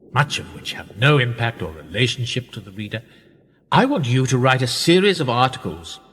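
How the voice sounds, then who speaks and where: An actor playing a sly, persuasive older conspirator in a radio play, theatrical and insinuating, with husky, drawn-out, often hushed phrases. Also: male voice, medium pitch, full character voice. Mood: grandly insinuating. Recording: echoey hall-like reverb.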